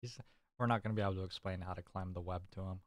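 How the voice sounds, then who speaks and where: A man's voice: low voice, steady tone, rapid-fire. A man playing a tabletop game with a dry, matter-of-fact delivery. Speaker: player. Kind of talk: casual game chat.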